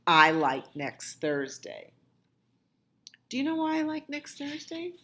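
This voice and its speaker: A woman's voice: high-pitched, very animated, takes it slowly, a bit bright, voice pushed up, exaggerated voice. A woman voicing an eager, playful young child in a picture book, emphatic and contrary, drawing out favourite words. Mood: excited. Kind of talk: picture-book dialogue read aloud.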